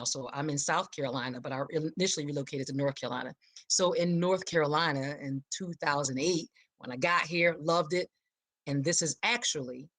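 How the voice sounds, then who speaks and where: A middle-aged American woman from Philadelphia telling her own story on a live show in an emphatic, expressive, high-energy way. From Philadelphia, United States.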